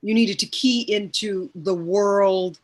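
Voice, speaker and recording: A woman speaking as an actor reflecting in casual discussion, deliberate, pausing to search for her words. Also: medium pitch.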